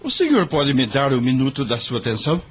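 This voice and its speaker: A man's voice: medium pitch, big pitch swings, fairly quick, husky, dull audio. A man playing an earnest, courteous young lawyer in an audio drama, polite, formal and deferential. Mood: puzzled, insistent. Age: young adult.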